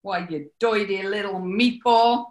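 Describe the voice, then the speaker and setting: A woman's voice: mid-range voice, unhurried, lightly acted. A woman playing a bossy male gangster club owner with a New York accent, menacing yet genial, in a 1940s radio comedy. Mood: commanding. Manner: bossy, smooth-talking. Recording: echoey room.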